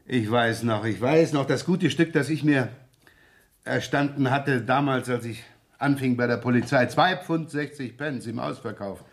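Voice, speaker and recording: An actor playing a scruffy, easygoing police inspector in a radio crime drama, talking in a wry, rambling, colloquial way. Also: male voice, medium pitch, unhurried, natural voice. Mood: wry, chatty. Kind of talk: 1970s German radio crime drama acting.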